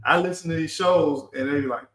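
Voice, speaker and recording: A man's voice: on the high side, brisk, a bit bright. Earnest middle-aged man, echoey room. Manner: earnest, urging, repeats and restarts words. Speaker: live stream host. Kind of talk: casual live stream talk.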